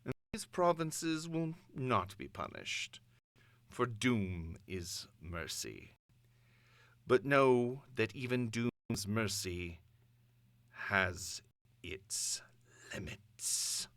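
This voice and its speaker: A man playing an imperious, cold comic-book supervillain dictator, delivering a measured, grandiose address with pompous authority. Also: on the low side, very animated, fairly bright tone, no echo, exaggerated voice. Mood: menacing calm. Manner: measured, pompous, speaking of himself in third person. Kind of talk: dramatic comic-book villain monologue, broadcast address.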